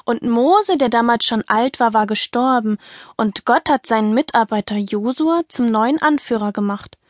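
Sensible woman companion, played by an actress, children's audio play, patient, explanatory, gently firm, slightly high pitch, dry sound. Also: moderate pace, no echo, close to an everyday voice. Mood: explaining. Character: patient, sensible female companion correcting and coaching.

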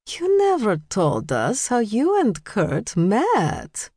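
A woman's voice: fairly high pitch, wildly swooping pitch, takes it slowly, dark tone, breathy edge, no echo, clean studio sound, pitched above the performer's own voice, full character voice. A rich Russian society lady in her fifties, put on by a woman narrator. She coos and pries with lingering, stretched words, gushing and insistent, as a character in an audiobook. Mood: cooing, prying. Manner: cooing, gushing, domineering.

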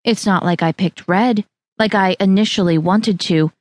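A woman voicing a blunt, quick-tempered young bride who speaks curtly and snappishly. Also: mid-range voice, no room sound, close to an everyday voice. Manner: curt, snappish.

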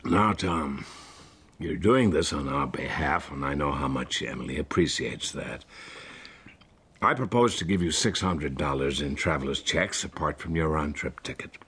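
An actor playing a wealthy, courteous middle-aged father with a husky voice in a radio drama. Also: very deep voice, normal speed, a bit bright, full character voice. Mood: fatherly, earnest. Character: wealthy, fatherly older American businessman, courteous and earnest.

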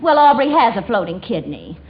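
An actress playing a devoted, gullible young daughter in 1940s radio comedy, earnest and fond. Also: female voice, fairly high pitch, big pitch swings, fairly quick, a little hiss or hum. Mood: defensive. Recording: dull vintage radio, audience behind.

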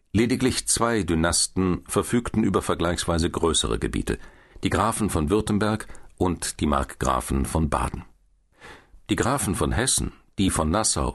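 A man reads a history audiobook as scripted narration, in a measured, wry and ironic manner. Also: deep voice.

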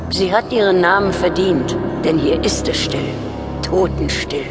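Actress as elderly letter-writer in horror radio play, measured delivery, wistful and uncanny. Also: female voice, lowish voice, over loud music, lightly acted. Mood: wistful, eerie. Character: elderly woman writing an eerie, wistful letter. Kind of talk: letter read in horror audio drama.

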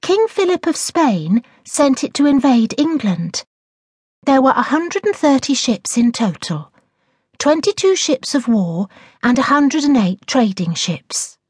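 Storybook role: smug, bookish schoolgirl played by a woman, raised pitch, precise reciting, dry close sound. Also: sing-song, unhurried, a little mellow, husky, no room sound, heavily acted.

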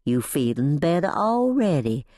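A woman performs a motherly housekeeper from the American South in an audiobook. She talks in a folksy, coaxing and gently bossy way, with a very expressive rise and fall. The sound is dry and close. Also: deep voice, a little slow, no echo. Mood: soothing, coaxing.

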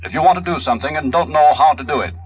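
A man's voice: fairly high pitch, rapid-fire, lo-fi sound, soft background music, lightly acted. A man playing an impersonal talking computer in a vintage radio drama, formal and announcer-like. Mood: impassive, official. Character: impersonal talking computer giving official answers. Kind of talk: 1950s radio drama acting.

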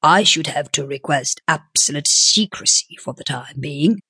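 A woman voicing a sleek, shifty businessman in an audiobook, with a measured, formal and coaxing way of talking. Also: low-pitched, sharp tone, breathy edge, dry recording, studio quality, heavily acted. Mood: measured, businesslike. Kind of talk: audiobook character voice.

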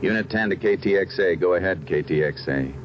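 A man in 1940s radio acting, playing a steady Texas Ranger who narrates his case in a measured way, on an old, muffled radio recording. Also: low-pitched, background noise, natural voice.

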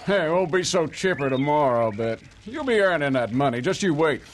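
A man's voice: on the high side, big pitch swings, normal speed, slight background noise. An actor playing an irritable, cynical cowhand in a western audio drama, needling whoever he talks to. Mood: grumbling.

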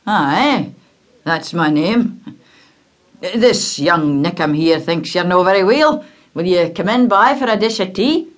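A woman's voice: fairly low pitch, animated, slow. A Scottish woman voicing Peter, a homely, plain-spoken working father, in a storybook reading. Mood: kindly.

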